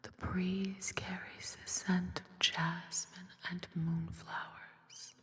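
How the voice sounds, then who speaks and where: A woman whispering a story in a soft-spoken, hushed and deliberate ASMR style, close to a binaural microphone. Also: on the low side, little pitch movement. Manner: slow, hushed, deliberate delivery with careful pauses. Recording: long reverb over music bed. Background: music.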